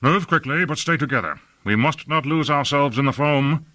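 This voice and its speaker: A man's voice: a little high, moderate pace, bright, forceful tone, no room sound, voice pushed up. A man voicing a commanding, imperious lord who barks clipped, urgent orders at soldiers in an audiobook story. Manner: clipped, barked military orders.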